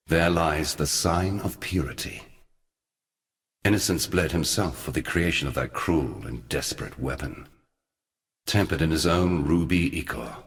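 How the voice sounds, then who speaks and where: A man playing an ancient, forgotten god in a video game, somber and weary, speaking solemnly and deliberately in archaic, portentous phrases. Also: extremely low pitch, big-room sound. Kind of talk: scripted video game character dialogue.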